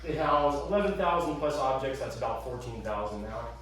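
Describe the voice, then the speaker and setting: A man giving a lively museum talk with slides to an audience, chatty and energetic. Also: mid-range voice, brisk. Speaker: museum staff presenter. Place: meeting room with audience. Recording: echoey room, far from mic.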